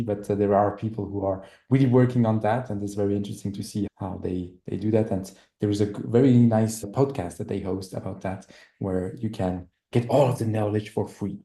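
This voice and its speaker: A man from Switzerland hosting a webinar, adding comments and warmly inviting more questions. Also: fairly low pitch. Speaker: webinar host. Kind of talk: moderating Q&A. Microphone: video call. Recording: roomy echo.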